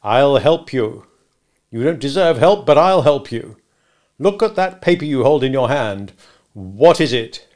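A man voicing a bullying, sarcastic gentleman who cross-examines like a lawyer in a storybook reading. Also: mid-range voice, animated, a little slow, lightly acted. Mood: badgering. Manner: interrogative, sarcastic, emphatic, domineering. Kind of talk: dramatic audiobook character reading.

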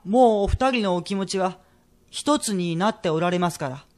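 A deferential head clerk of an old merchant house, played by a man in a period radio drama. He has a high voice and speaks earnestly, with humble courtesy. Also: animated, normal speed, a little mellow. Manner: humble, very polite speech.

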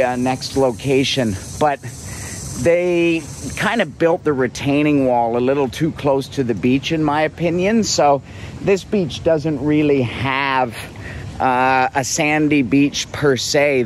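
A man's voice: mid-range voice, a little hiss or hum. A man in his forties giving a chatty, upbeat walking tour of a tropical beach, filmed at arm's length outdoors. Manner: upbeat, chatty, repeats words. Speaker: travel vlogger. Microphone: selfie camera at arm's length.

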